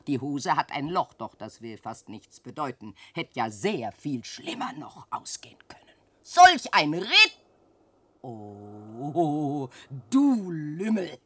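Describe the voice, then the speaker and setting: A woman's voice: slightly rough, heavily acted. An actress playing a poor old widowed mother in a classic radio play, scolding and lamenting her lazy son. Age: older. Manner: scolding and lamenting, swings from shrill cries to hushed sighs.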